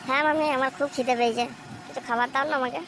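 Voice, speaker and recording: A woman's voice: high voice, steady tone, very fast, fairly bright tone. A woman voicing a cheeky, hungry, scheming young boy in a comic cartoon, pleading and sly. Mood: eager. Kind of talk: amateur comic cartoon dubbing. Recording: faint background noise.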